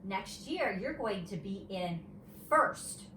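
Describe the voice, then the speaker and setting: A woman voicing a patient, gently firm female kindergarten teacher in a storybook, explaining carefully to young children. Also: medium pitch, animated, slow pace. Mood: patient, apologetic. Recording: roomy, distant mic, faint noise.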